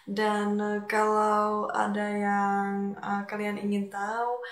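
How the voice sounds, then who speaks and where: A woman's voice: medium pitch, completely flat delivery, slow pace. A Czech woman with a Czech accent talking casually to viewers as a vlogger, often hesitating as she searches for words in a language that is not her native one. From Czech Republic. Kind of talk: casual personal talk to viewers. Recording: echoey, roomy sound.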